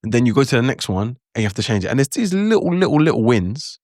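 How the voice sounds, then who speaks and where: A young man talks casually about learning a language, chatty and animated, acting out his own reactions. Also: medium pitch, fast, no echo, clean studio sound. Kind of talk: casual talking-head advice.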